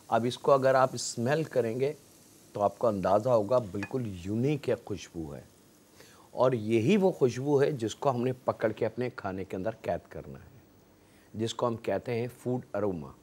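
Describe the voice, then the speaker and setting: A man with a Pakistani Urdu accent hosting a cooking show, politely addressing viewers as he explains each step of the recipe. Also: medium pitch.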